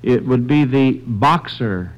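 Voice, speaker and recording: A man's voice: mid-range voice, lively intonation, slow pace, highs cut off. An American man hosting a 1940s radio quiz for a panel of children, with a folksy, encouraging manner. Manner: encouraging, folksy, repeats and affirms answers.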